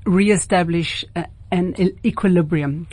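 A woman's voice: on the low side, a little slow, mellow, slightly rough, no room sound. Woman hosting late-night radio. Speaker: radio host interviewing a guest.

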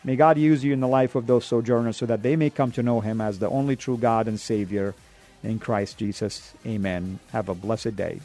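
A man's voice: fairly even intonation, moderate pace, dark tone, soft background music. A man from Arabia, hosting a radio show and giving an emphatic sermon-style exhortation. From Saudi Arabia. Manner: emphatic, pitch rising on key words.

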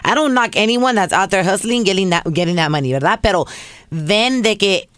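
A woman's voice: mid-range voice, fairly quick, a bit bright, no echo. A woman co-hosting a podcast in casual chat, switching freely between English and Spanish, upbeat and playful. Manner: upbeat, playful, reads quotes aloud with emphasis. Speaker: podcast co-host.

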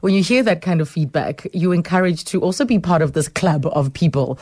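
Female radio host, lower voice, dry studio sound, encouraging. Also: brisk, dark tone, dry recording. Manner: encouraging, lots of affirming murmurs. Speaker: radio talk show host. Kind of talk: radio call-in talk.

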